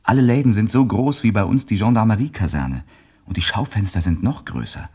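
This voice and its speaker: An actor playing a sober, ambitious young man in a German radio play, earnest and firm, with a husky voice. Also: on the low side, expressive, brisk, dark tone. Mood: dreamy.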